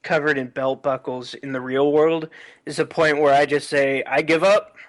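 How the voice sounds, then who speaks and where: A man's voice: fairly high pitch, little pitch movement, normal speed. A man talking in casual chat as a guest on a podcast.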